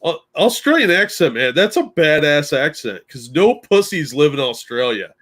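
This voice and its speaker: A man's voice: fairly high pitch, very animated, normal speed, a bit bright. A man co-hosting a podcast in casual chat, making dry wisecracks. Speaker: podcast co-host.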